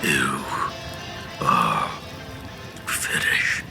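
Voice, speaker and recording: A man voicing a vengeful, doomed warrior of fire with a deep growl in a fantasy audio drama. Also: extremely slow, creaky, over music, heavily acted. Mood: menacing.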